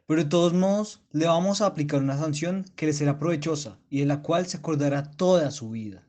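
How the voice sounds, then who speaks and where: Slightly high male voice, man acting stern school director, pompous, oratorical, long-winded, radio play. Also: brisk, darkish tone, natural voice. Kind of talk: literary radio drama monologue.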